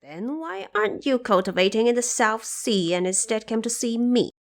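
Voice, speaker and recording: A woman's voice: a little high, moderate pace, close to an everyday voice. A woman voicing a brash, boastful monkey warrior with a cocky, challenging manner in a fantasy audio drama. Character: brash, boastful monkey warrior calling himself great sage.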